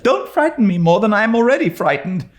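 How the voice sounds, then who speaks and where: Man as an earnest high-voiced young girl. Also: very animated, fairly quick. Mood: anxious, determined. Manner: pleading, resolute, tremulous. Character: earnest, imploring, dramatic young orphan girl. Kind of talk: dramatised audiobook reading of a classic novel.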